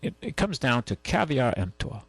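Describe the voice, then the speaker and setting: A man hosting a call-in radio show, giving casual advice in a halting, rambling way. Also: mid-range voice, big pitch swings, gravelly, breathy edge, no echo. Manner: halting, rambling, conversational explanations. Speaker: radio call-in show host, car dealer.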